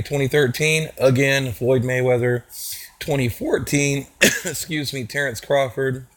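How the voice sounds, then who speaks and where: A man with a hoarse voice from a cold, talking casually to his viewers as a channel host, rambling with many fillers and restarts. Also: medium pitch. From Texas. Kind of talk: casual talk to camera.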